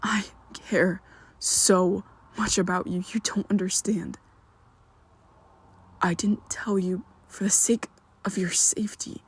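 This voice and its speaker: Woman playing a young male vampire best friend, secretive, protective, slow and halting, half-whispered, ASMR roleplay. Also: medium pitch, steady tone, breathy edge, full character voice. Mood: pleading. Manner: hesitant, halting, often drops to a whisper.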